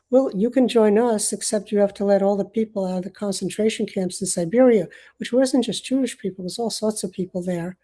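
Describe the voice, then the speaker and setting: An older woman born in Uganda, with Swahili as her first language, hosting an online video call and speaking in a reflective, halting way. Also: medium pitch, dark tone. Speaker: host.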